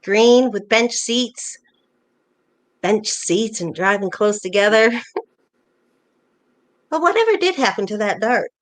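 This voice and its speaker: A woman's voice: mid-range voice, expressive, takes it slowly, long pauses. A woman playing a playful, bossy older wife reminiscing fondly with a spouse in a staged play reading. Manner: teasing, nostalgic, conversational.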